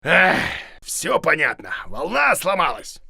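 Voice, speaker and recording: A boastful old cartoon grandpa who fancies himself a great teacher. His voice is gravelly and swoops wildly between pompous blustering and muttering, at an unhurried pace, with music behind him. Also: a little high, huge pitch swings, bright, forceful tone, no echo, clean studio sound, heavily acted. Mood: bumbling, determined. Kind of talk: animated cartoon acting for children.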